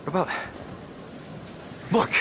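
A man's voice: slow pace, noisy background, natural voice. An actor playing a laid-back, level-headed young man in a horror video game. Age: young adult. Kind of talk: video game cutscene acting. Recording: loud game ambience behind.

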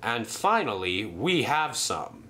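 A man's voice: mid-range voice, very animated, takes it slowly, sharp tone, exaggerated voice. A man voicing a fussy male railway boss who speaks properly and exclaims. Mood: scheming.

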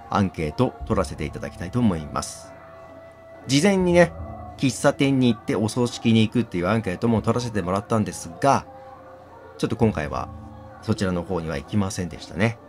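A man hosting a game playthrough, reading text aloud and commenting on it. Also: on the low side.

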